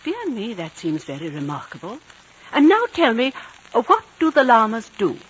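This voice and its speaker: An actress playing a prim, blunt, opinionated spinster missionary in a 1950s radio drama. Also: female voice, fairly high pitch, wildly swooping pitch, moderate pace, breathy edge, exaggerated voice. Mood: prim, inquisitive. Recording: dull, noisy 1950s radio recording.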